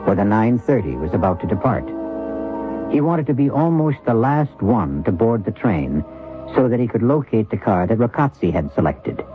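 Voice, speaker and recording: An actor playing a grave, measured male narrator of a radio suspense tale. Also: lowish voice, close to an everyday voice. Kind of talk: scripted radio drama narration. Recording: tinny old radio recording. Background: music.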